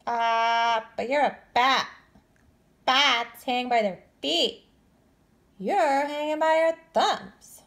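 A woman's voice: high-pitched, extremely slow, fairly bright tone, pitched above the performer's own voice, heavily acted. A woman voicing a blunt, self-assured storybook bat, emphatic and stretching out the words. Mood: matter-of-fact. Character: blunt, sure-of-itself bat correcting a youngster.

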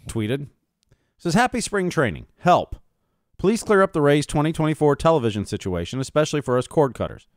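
A man's voice: medium pitch, clear, resonant tone, dry recording, studio quality. A man co-hosting a sports podcast, casually chatting and handling listener questions.

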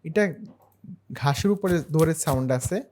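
A man narrating a screen-share software tutorial in a step-by-step, explanatory way. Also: fairly high pitch, normal speed, slight background noise. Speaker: tutorial host.

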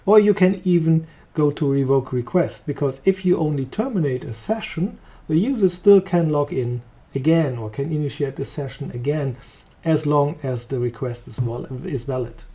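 A man with a German accent walking through a software demo, talking casually as he clicks along. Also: a little high, moderate pace, few pauses. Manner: relaxed, thinking aloud while clicking. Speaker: software trainer. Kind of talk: screen-recorded software demo.

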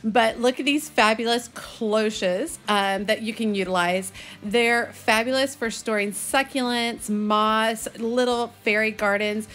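A woman's voice: fairly high pitch, steady tone, measured pace, few pauses, fairly bright tone. A woman in her thirties with a Texan accent, narrating a casual vlog in a chatty, enthusiastic, folksy way. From Texas, United States. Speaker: host of a gardening video channel.

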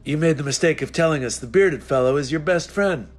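An actor playing a menacing villain agent who threatens coldly in a script read. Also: male voice, fairly high pitch, a little fast, soft background music, close to an everyday voice. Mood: cold menace. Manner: cold, threatening. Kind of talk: table read acting.

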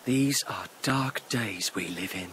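An actor playing an earnest young noble lord and calm leader, formal and commanding in a video game drama. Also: male voice, fairly low pitch, takes it slowly, slight background noise. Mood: solemn, composed.

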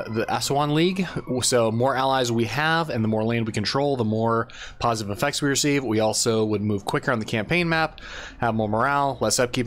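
A man's voice: medium pitch, fairly bright tone. A man hosting a gaming channel, giving casual commentary and explaining a strategy game step by step, unsure of how to say some names.

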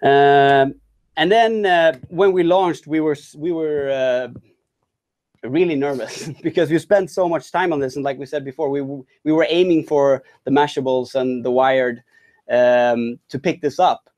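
A man giving a conference talk on a product his team built, speaking in halting sentences with many restarts and fillers. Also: a little high, a little mellow. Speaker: tech talk presenter.

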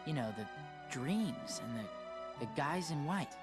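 A man's voice: on the high side, lively intonation, slow pace, loud music behind the voice. An actor playing a troubled, wistful teenage boy in a video game cutscene, with a hesitant manner. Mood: uneasy.

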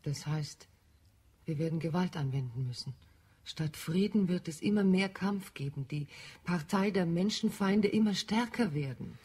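Eine Schauspielerin spielt in einem deutschen Hörspiel eine nachdenkliche, zärtliche Marsianerin mit sanfter, bedächtiger Sprechweise. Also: female voice, on the low side, moderate pace, a little mellow, breathy edge. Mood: concerned. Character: thoughtful, tender Martian woman. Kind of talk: German radio play acting.

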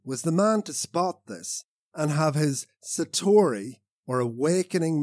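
Male author-narrator for nonfiction audiobook. Animated, swinging pitch, recorded close and dry. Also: no echo. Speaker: author reading own audiobook.

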